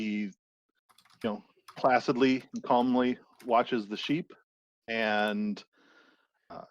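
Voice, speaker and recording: A man playing a tabletop game, casually describing things in a halting, measured way. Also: mid-range voice, no echo, dull audio. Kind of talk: casual character introduction.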